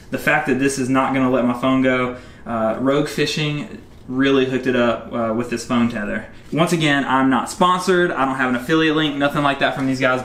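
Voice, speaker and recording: A man's voice: medium pitch, a bit bright, slight background noise. Chatty upbeat man vlogging from his garage. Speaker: fishing vlogger. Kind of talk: casual product review vlog. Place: home garage, indoors.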